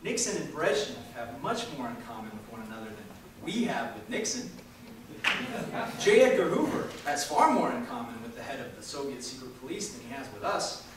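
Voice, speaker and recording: A man reading a political speech aloud at a public event in an emphatic, oratorical way, with dramatic pauses. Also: medium pitch, sing-song. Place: large echoey room at a live university event. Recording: echoey hall from far off, faint noise.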